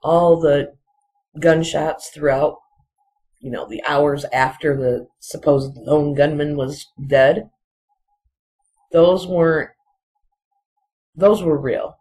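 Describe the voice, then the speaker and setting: A person giving casual, rambling solo commentary in halting, broken phrases, often repeating points insistently. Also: mid-range voice, darkish tone, rough recording. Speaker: video commentator.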